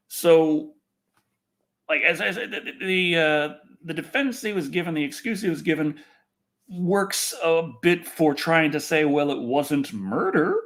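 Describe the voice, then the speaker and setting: A man hosting a casual livestream chat, rambling and halting, often repeating his phrases and speaking bluntly. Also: a little high, a bit bright. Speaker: podcast host.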